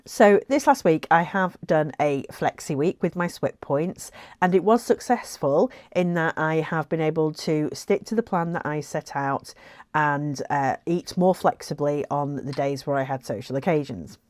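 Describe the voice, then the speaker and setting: Low-voiced woman vlogging. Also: measured pace, a little mellow, dry recording, clean studio sound. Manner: chatty and reflective, with frequent ums and light laughs. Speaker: weight-loss vlogger. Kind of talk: casual talking to camera.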